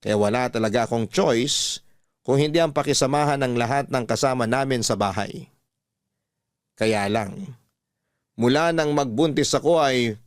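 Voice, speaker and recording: A man's voice: mid-range voice, dry recording, studio quality. A man with a Filipino accent reading scripted first-person radio drama narration as the storyteller. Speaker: narrator of a letter-based love story drama.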